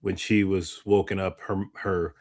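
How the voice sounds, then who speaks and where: A man's voice: low voice, flat delivery, measured pace, a little mellow. A man co-hosting a movie discussion, chatting casually in a rambling way as he searches for words. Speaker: co-host of a movie discussion show. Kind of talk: casual chat.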